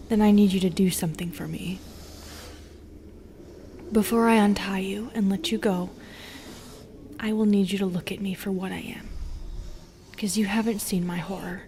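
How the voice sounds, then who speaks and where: A woman playing a lonely, wounded and wary Medusa in an intimate audio roleplay, speaking hesitantly and softly, often dropping into a whisper. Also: mid-range voice, fairly even intonation, a little hiss or hum, lightly acted. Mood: guarded, cautious. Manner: hesitant, halting, soft and breathy.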